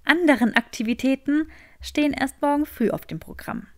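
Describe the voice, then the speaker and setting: Woman as a prim young woman, high-pitched. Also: normal speed. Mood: awkward, matter-of-fact. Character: prim, spirited young woman, defensive and impatient.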